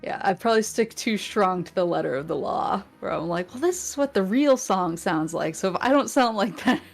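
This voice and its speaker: A woman's voice: mid-range voice, expressive, vocal fry, faint music behind. A woman guest on a chat show who talks casually, laughing often and restarting her sentences. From New England. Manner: giggly, halting, many restarts. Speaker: guest vtuber.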